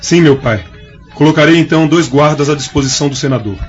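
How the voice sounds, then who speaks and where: An actor playing a dutiful young Roman prince, respectful, formal and solicitous, in a radio drama. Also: male voice, fairly high pitch, fast talker, natural voice. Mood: obedient, attentive. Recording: dull lo-fi radio drama with music.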